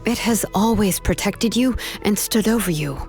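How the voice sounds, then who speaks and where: An actress playing a calm, reassuring young engraver and mentor in a video game story scene. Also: female voice, mid-range voice, close to an everyday voice. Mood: gently reassuring. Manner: calm, unhurried, gently reassuring. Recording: music under the voice.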